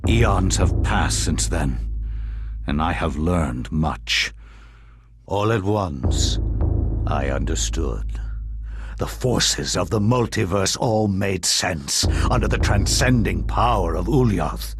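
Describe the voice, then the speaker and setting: Deep, gravelly undead villain. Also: male voice, animated, heavily acted. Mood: grandiose menace. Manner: grave, deliberate, grandiose. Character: ancient undead Roman centurion turned sinister villain, menacing and proud. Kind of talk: theatrical video game villain monologue. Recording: noisy game audio capture.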